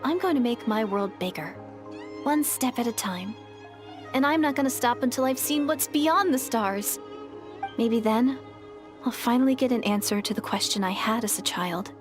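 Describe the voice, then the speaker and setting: A woman's voice: a little high, a little slow, long pauses, natural voice. An actress playing an earnest, dreamy young village woman adventurer in a video game, speaking sincerely. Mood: determined. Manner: sincere, wistful storytelling. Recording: music playing behind.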